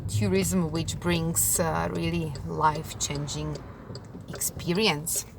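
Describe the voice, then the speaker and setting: Slow-talking Czech woman outdoors by traffic. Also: deep voice, steady tone, vocal fry. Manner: unhurried, thoughtful, many fillers and pauses. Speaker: tour guide and language teacher. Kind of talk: casual talk to camera. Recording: distant mic, loud traffic noise outdoors.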